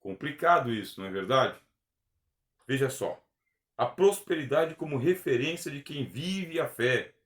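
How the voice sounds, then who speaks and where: A man's voice: mid-range voice, expressive, a little slow, a bit bright. Emphatic man lecturing in an echoey room. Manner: earnest, emphatic, uses rhetorical questions. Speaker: theology teacher. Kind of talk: recorded theology lecture.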